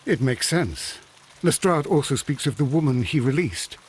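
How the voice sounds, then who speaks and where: An actor playing a loyal, polite Victorian gentleman, a detective's inquiring companion, in a video game. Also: medium pitch, expressive, moderate pace, breathy edge. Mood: curious. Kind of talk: scripted video game acting.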